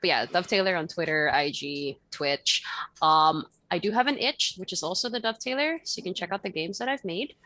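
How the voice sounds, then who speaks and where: A woman's voice: on the low side, sharp tone, dry recording. A woman, a game designer guest, talking in a cheerful, self-deprecating way. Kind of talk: casual interview talk.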